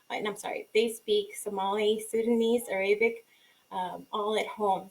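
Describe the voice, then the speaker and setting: A woman's voice: medium pitch, rough recording. A young adult woman from Guatemala, a native Spanish speaker, speaking as a community organizer in an earnest, informal presentation with frequent ums and restarts.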